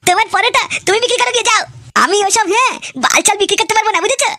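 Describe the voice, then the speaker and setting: A woman's voice: extremely high pitch, rapid-fire, pushed and bright, dry recording, studio quality, heavily acted. A woman playing a foul-mouthed, argumentative idle young man in an exaggerated comic cartoon. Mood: defiant. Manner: crude, argumentative.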